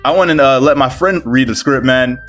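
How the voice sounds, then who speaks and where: A man's voice: mid-range voice, brisk. Male online creator, mid-range voice, casual and slangy, self-deprecating advice-vlog delivery, music underneath. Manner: slangy, bro-heavy, animated storytelling and self-deprecating. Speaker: video creator giving game development tips. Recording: music behind the voice. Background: background music.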